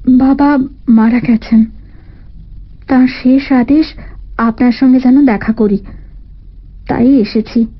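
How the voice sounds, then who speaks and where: A plaintive married woman of about forty in an audio drama. Her voice is high and she speaks slowly, with an aggrieved air. The sound is slightly muffled. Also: steady tone. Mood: sorrowful. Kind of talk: dramatised detective audio story.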